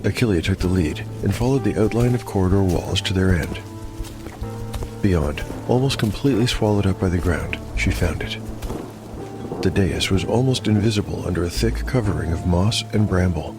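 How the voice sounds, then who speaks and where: A clear music bed sits under a man with a fairly low voice. He delivers scripted podcast narration as the host of a dark fantasy show. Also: normal speed.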